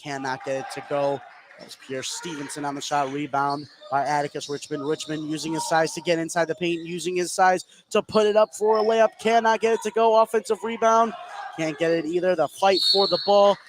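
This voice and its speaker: A man's voice: on the high side, fairly even intonation, a little fast. A man doing live play-by-play radio commentary of a basketball game. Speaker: play-by-play announcer. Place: indoor basketball gym during a game. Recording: clear gym noise behind the voice.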